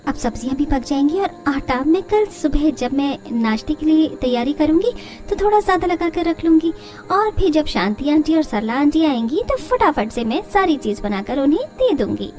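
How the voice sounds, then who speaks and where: Clever young daughter-in-law, played by a woman narrator, high voice, polite, self-assured, storybook tale. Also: big pitch swings, moderate pace, a little mellow. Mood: confident. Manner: polite, confident.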